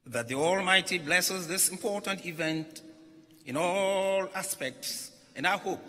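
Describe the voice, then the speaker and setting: A man reads a formal speech aloud as a religious leader, in deliberate, emphatic phrases with short pauses. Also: on the high side, bright, forceful tone. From Ghana. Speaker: religious leader reading a message at a conference. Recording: echoey hall with long reverb.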